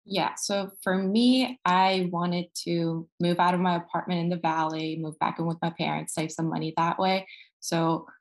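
A woman from Texas, a new doctor, chatting in a relaxed way on a panel. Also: lowish voice, moderate pace. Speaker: medical graduate panelist. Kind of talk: casual panel talk.

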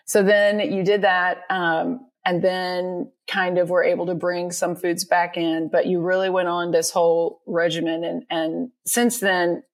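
Middle-aged woman hosting, slightly low voice. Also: flat delivery, measured pace, few pauses. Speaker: podcast host. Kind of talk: casual conversational interview.

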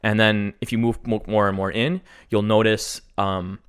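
A young man explains his beekeeping in loose, rambling talk, often restarting his sentences. His voice sits a little low, and he swears casually. He sounds slightly sniffly from a runny nose. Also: level tone, moderate pace, fairly bright tone, smooth. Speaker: guest beekeeper. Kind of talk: casual podcast chat.

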